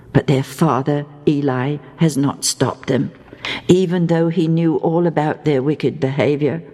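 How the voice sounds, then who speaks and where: Almighty God played by a female storyteller, stern and majestic, lowish, deliberate delivery, Bible drama. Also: a little mellow, in a large hall. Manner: solemn, deliberate, commanding. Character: majestic, stern male God pronouncing judgment.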